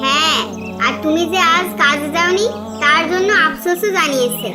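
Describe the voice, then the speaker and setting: A woman playing a demanding, sharp-tongued wife who scolds and questions in a cartoon dub. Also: high voice, moderate pace, over music, close to an everyday voice. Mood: questioning. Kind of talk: dubbed religious cartoon acting.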